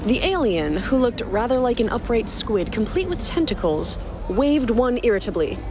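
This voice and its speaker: A woman's voice: on the high side, big pitch swings, moderate pace, noisy background. A woman reading scripted fiction as the narrator of a podcast.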